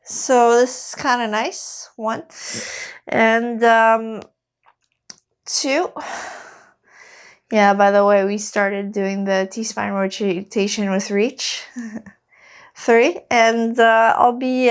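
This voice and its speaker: Woman coach, Quebec French accent, unhurried, slightly high voice, halting chatty cues. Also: smooth. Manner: relaxed, halting, many fillers. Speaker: fitness coach. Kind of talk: casual workout instruction.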